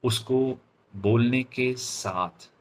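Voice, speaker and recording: A man with an Indian accent giving an instructional lecture as a speaking coach, in deliberate, halting phrases with frequent check-in questions. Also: medium pitch, slight background noise.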